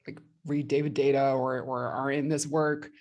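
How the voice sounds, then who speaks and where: A woman's voice: a bass voice, level tone, moderate pace, darkish tone, lo-fi sound. A woman hosting a podcast, speaking conversationally in a candid, self-reflective manner. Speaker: podcast host.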